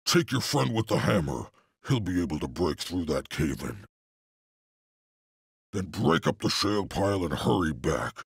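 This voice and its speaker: A man's voice: low-pitched, very animated, moderate pace, gravelly, pauses often, breathy edge, no room sound, clean studio sound, heavily acted. A man voicing a cruel, bullying taskmaster in a video game, sneering and domineering as he gives orders. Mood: threatening. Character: cruel, bullying serpent-clan slave taskmaster. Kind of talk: theatrical video game villain acting.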